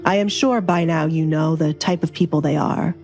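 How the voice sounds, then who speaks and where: A woman's voice: low-pitched, steady tone, moderate pace, a little mellow, faint music behind. A woman voicing a dangerous nobleman duke in an audio romance, formal, commanding and dryly teasing. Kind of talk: dramatized audio romance dialogue.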